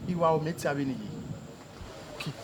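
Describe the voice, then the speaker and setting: A man's voice: on the high side, fairly even intonation, a little fast, close to an everyday voice. A man with a Nigerian accent playing a cautioning, brotherly friend in a screen drama. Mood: concerned. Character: cautioning male friend giving brotherly advice. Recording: location sound with faint noise.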